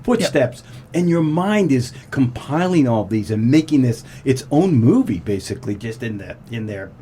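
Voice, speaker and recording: A man's voice: medium pitch, very animated, normal speed, dark tone, a little hiss or hum. A man hosting a radio show in a casual, conversational way. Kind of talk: casual chat.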